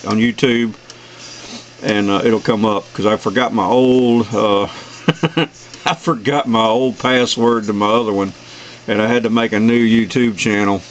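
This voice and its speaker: A man's voice: on the low side, steady tone, a little slow, highs cut off. A middle-aged or older man from the United States chatting casually and in a friendly, folksy way as an amateur radio operator. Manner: friendly sign-offs, folksy. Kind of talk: casual radio contact chat. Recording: noisy radio shack recording.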